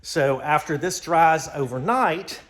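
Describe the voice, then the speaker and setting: A man's voice: fairly high pitch, animated, slow pace, fairly bright tone. An American man rambling casually and informally in a folksy way, thinking aloud as he hosts a garage vlog. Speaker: vlog host. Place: home garage workshop.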